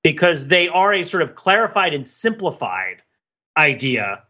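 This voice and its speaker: A man's voice: fairly high pitch, big pitch swings, unhurried, pushed and bright, muffled recording. A middle-aged man in a casual podcast discussion, wordy and mock-academic as he lays out his points. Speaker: podcast panelist.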